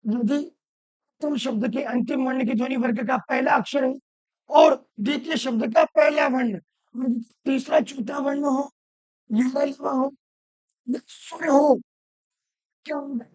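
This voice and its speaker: A woman teaching Hindi grammar, hoarse from a cold, asking questions and answering them herself while repeating the rules loudly. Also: sits high, a little slow, pauses often, dry recording. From Rajasthan. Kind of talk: classroom-style lesson.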